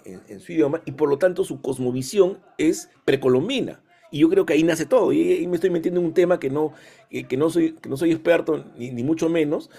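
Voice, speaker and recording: A man's voice: mid-range voice, dark tone, husky. A man in the audience asking a long question hesitantly, repeating words often.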